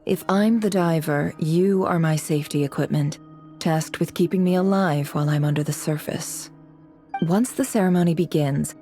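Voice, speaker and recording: Game role: commanding, shrewd woman, low and mellow, formal, measured delivery. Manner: measured, formal, self-assured. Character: composed, shrewd, commanding adult woman strategist. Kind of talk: game character voice acting.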